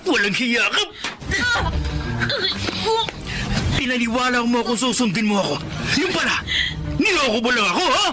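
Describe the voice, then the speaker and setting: A man's voice: very high voice, expressive, fairly quick, over loud music, rough recording. A man with a Filipino accent playing a scheming, ungrateful adopted son in a melodramatic radio drama. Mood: furious. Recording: clear background ambient noise.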